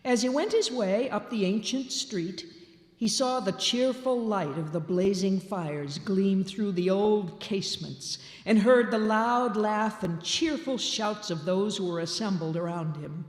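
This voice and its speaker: Slow, deliberate woman storyteller. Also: mid-range voice. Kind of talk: dramatic reading of a classic story. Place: large town hall stage, live show. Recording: roomy hall reverb.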